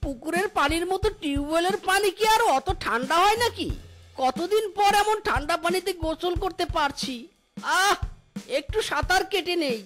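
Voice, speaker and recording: Woman voicing a very high-pitched cartoon father fox. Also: expressive, normal speed, fairly bright tone, faint music behind. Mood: delighted. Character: easygoing father fox, cautious family man. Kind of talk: cartoon dialogue.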